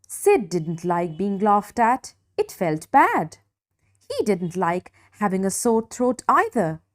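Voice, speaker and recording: A woman reading a children's story expressively, with deliberate dramatic emphasis for young listeners. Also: medium pitch, very animated. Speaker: storybook reader.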